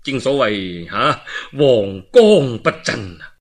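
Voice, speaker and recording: Man voicing an old, weary governor, slow and earnest. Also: mid-range voice, very animated, pitched below the performer's own voice. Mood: solemn, weary. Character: elderly, weary, humbly insistent provincial governor.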